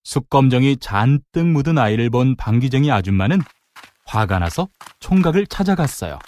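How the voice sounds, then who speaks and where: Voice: medium pitch, animated, normal speed, darkish tone. A narrator telling a children's folktale expressively, playing up the sound words. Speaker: storyteller narrator. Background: music.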